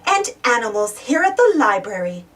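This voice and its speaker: A woman voicing a welcoming librarian in a storybook, warm and instructive. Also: fairly high pitch, sing-song, normal speed, recorded from a distance.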